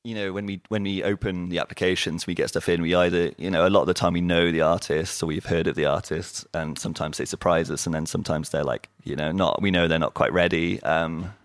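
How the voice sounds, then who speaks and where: A man hosting a podcast in casual chat, rambling with lots of fillers and quick laughs. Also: low voice, steady tone, no room sound. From Wales and Australia. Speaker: podcast host.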